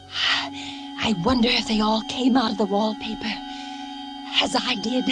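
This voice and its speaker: An actress playing a secretive wife descending into madness, intense and theatrical, confiding to herself as in a suspense radio drama. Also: female voice, on the high side, steady tone, unhurried, close to an everyday voice. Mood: dreamy, deranged. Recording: radio drama with loud music behind.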